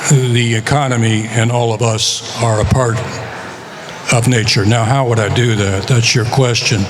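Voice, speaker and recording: A man from the United States speaks at a conference session while he is losing his voice. He talks in a measured way, with frequent pauses and filler words, at an ordinary pitch. A clear hum of room noise sits under him. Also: fairly even intonation. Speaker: author. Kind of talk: conference talk.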